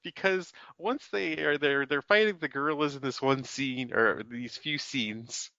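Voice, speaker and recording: A man's voice: medium pitch, a little slow, bright, forceful tone, no echo, muffled recording. A man co-hosting a podcast, summarizing a film plot in casual chat, with many fillers and restarts. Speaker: podcast co-host.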